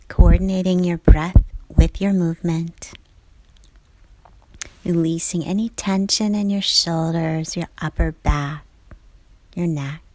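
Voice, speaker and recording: A woman leading a guided yoga class, giving calm, measured cues in short phrases, with a soft voice that turns breathy at phrase ends. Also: lowish voice, no echo, rough recording. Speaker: yoga instructor.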